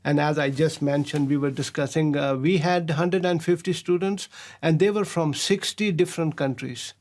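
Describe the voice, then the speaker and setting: An older man, his voice sitting a touch high for a man. He tells his stories in a relaxed, halting way, stopping on small filler sounds and breaking into easy chuckles. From Pakistan. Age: 60s. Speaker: professor, interview guest. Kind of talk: relaxed storytelling interview.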